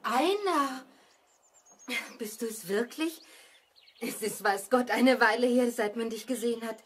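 Actress playing a welcoming mother, fairly high voice. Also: measured pace, slight background noise, close to an everyday voice. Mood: surprised, amused. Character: welcoming mother greeting her long-absent cousin. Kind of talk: 1970s German children's radio play acting. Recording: 1970s radio play, birdsong behind.

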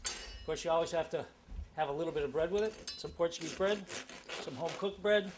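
A man's voice: fairly high pitch, fairly quick, background noise. Upbeat man hosting a home cooking show. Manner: enthusiastic, talks while cooking, many fillers. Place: home kitchen.

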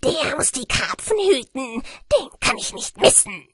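A woman's voice: high voice, very animated, measured pace, a bit bright, husky, dry recording, clean studio sound, pitched above the performer's own voice, full character voice. A woman voicing a spiteful male water sprite in a storybook, menacing and jeering, with a harsh voice. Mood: mocking.

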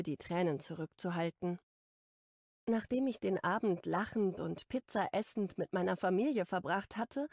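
A woman reading a romance audiobook in calm first-person narration, her voice carrying a touch of vocal fry. The sound is dry and close, with no room around it. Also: mid-range voice, no echo. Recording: dry close studio recording.

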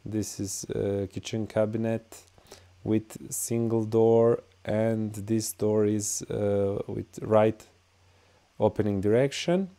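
A man with a Bosnian accent talks through a screen-recorded tutorial, speaking English as a second language. His voice is low and dark, and his delivery is slow and halting, full of fillers. It sounds like a plain desktop-mic voice-over. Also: steady tone, vocal fry. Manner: halting, many "uh" and "all right" fillers. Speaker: tutorial host.

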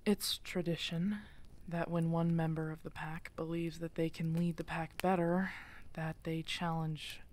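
A woman playing a guilt-ridden, protective exiled werewolf alpha in an intimate ASMR audio drama, halting and emotional, often slipping toward a breathy whisper. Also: low-pitched, level tone, a little mellow. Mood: grieving, subdued.

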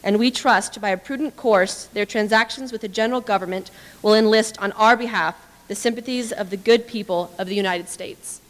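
A young woman giving an earnest, measured reading of a historical petition at a podium microphone. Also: mid-range voice. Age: young adult. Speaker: dramatic reader. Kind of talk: formal read-aloud of historical petition. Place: indoor hall with brick walls, small audience. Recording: reverberant hall.

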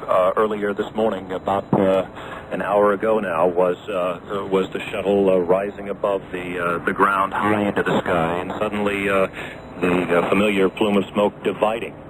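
Man, news anchor, very deep, halting with fillers, hissy shortwave. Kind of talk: live breaking news commentary. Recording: noisy shortwave radio recording.

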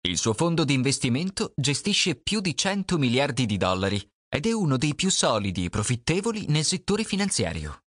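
A man reads a scripted audiobook presentation at a measured, steady pace. His pitch moves a lot from phrase to phrase. The recording is close and dry, with no room sound. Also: no room sound. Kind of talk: scripted narration.

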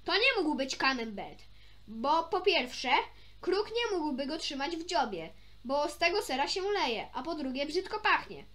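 A woman's voice: high voice, big pitch swings, unhurried, heavily acted. Woman doing a huffy, hot-headed schoolboy. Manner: loud, contradicting, huffy. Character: hot-headed schoolboy, a policeman's son, squabbling.

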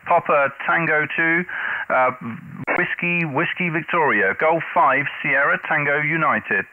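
A man's voice: fairly high pitch, measured pace, no echo, narrow, tinny audio. A man making ham radio contacts on a headset mic, spelling out callsigns phonetically. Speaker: amateur radio operator, streamer. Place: small indoor room at home. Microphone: headset boom mic.

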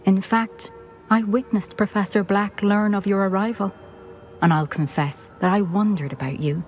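An actress playing a formal, composed former headmistress speaking from a portrait in a game. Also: female voice, medium pitch, fairly even intonation, moderate pace, soft background music, natural voice. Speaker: game character.

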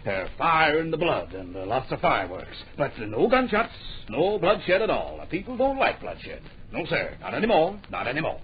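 An actor playing an absent-minded, excitable publisher in an old radio drama, muttering, hemming and repeating his phrases. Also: fairly high pitch, normal speed. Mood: excited, emphatic. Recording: muffled, noisy old radio recording.

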